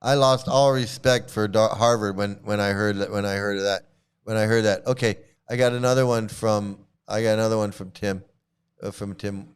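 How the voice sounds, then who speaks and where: A man's voice: on the low side, steady tone, normal speed, smooth. A man hosting a casual reaction video, laughing often and repeating himself as he talks. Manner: laughs often, repeats phrases, stammering restarts.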